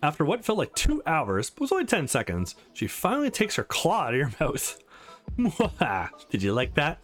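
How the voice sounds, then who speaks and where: A man's voice: on the high side, huge pitch swings, moderate pace, faint music behind. A man reading a visual novel aloud as a let's play host, acting out dialogue playfully and adding asides.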